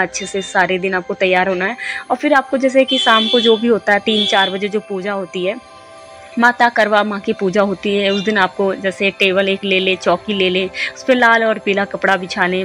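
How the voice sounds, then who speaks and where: Hoarse woman giving a chatty ritual guide. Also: mid-range voice. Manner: chatty, repetitive, addresses viewers as friends. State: hoarse, unwell. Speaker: devotional channel host. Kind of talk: casual spoken ritual guide.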